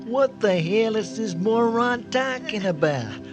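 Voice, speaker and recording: Guy doing a sarcastic tough crook, high voice, menacing, radio play music behind. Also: lively intonation, slow. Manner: sarcastic, sneering.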